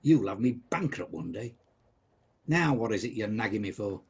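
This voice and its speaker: A man plays a miserly, bad-tempered old businessman in a comic radio drama. The voice is husky and swings widely in pitch, full of blustering sarcasm and scorn. The recording is slightly dull. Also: animated, moderate pace, a little mellow, heavily acted. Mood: irritable nagging.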